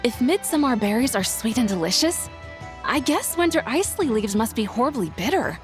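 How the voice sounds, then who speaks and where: Casting: a composed, helpful young woman, fairly high, lively phrasing, light music underneath. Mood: calm. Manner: measured, polite, explanatory. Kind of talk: video game cutscene dialogue.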